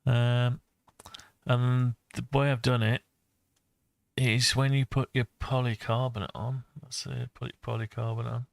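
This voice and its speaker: Man, creaky voice, flat intonation, casual hobby walkthrough, hesitant, musing to himself. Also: mid-range voice, unhurried, dry recording. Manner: hesitant, musing, talks through ideas to himself. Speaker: presenter of a hobby design project video. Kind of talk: casual screen-share design walkthrough, thinking aloud.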